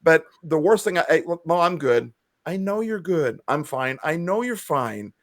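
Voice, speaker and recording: A man's voice: on the high side, sing-song, normal speed, no echo, studio quality. A man co-hosting a podcast over a video call, talking earnestly and emotionally about helping people. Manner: earnest, emotional, self-described feeler. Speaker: podcast co-host. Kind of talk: casual video-call chat.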